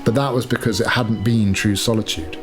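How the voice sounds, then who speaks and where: A man with a fairly low voice narrates a piece of literary fiction. His phrasing is measured and deliberate, and music plays clearly behind him. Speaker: author reading own novel excerpt. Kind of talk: scripted literary narration over sound design. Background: atmospheric music.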